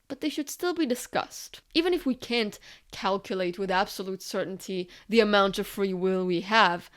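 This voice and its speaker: A woman delivering scripted video essay narration in a reflective manner, often posing rhetorical questions. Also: mid-range voice.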